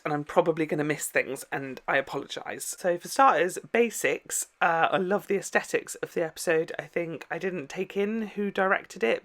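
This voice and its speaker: A reaction host giving casual, emotionally expressive commentary full of exclamations while watching a TV show. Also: mid-range voice.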